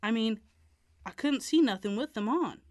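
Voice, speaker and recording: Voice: medium pitch, expressive, moderate pace, no echo, clean studio sound, pitched above the performer's own voice, lightly acted. A reader voicing an easygoing teenage boy with a drawl in a storybook reading. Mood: puzzled.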